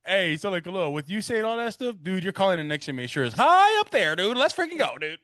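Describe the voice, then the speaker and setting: A man's voice: high-pitched, big pitch swings, fast, a bit bright, no room sound. A man co-hosting a podcast, joking and teasing in hyped slang. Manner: hyped, slangy, teasing, calls out "let's go, dude". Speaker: podcast co-host. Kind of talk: joking banter.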